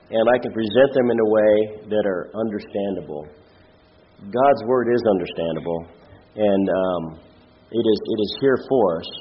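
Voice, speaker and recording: A man preaches informally to a congregation in a lowish voice, stuffed up and nasal from a cold. He speaks plainly and haltingly, as a lay speaker does. The recording is a little dull and muffled. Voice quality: nasal, congested. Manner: halting, hesitant, plain-spoken. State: has a head cold. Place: church, speaking before a congregation.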